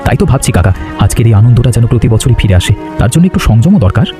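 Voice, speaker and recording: Man acting fast-talking earnest village fellow. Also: mid-range voice, sing-song, close to an everyday voice. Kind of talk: cartoon story dialogue. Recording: music behind the voice.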